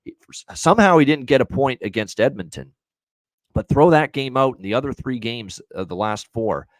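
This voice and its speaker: A man's voice: mid-range voice, lively intonation, normal speed, no echo. A man hosting a sports betting show, chatting casually and rambling through his picks.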